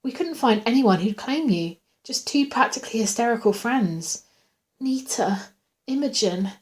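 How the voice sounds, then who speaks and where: A woman's voice: on the high side, expressive, unhurried, a little mellow, pitched above the performer's own voice. A woman portrays a sympathetic hospital nurse in a storybook narration. She sounds soothing and lilting, reassuring with a teasing touch. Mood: teasing, friendly. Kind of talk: audiobook character.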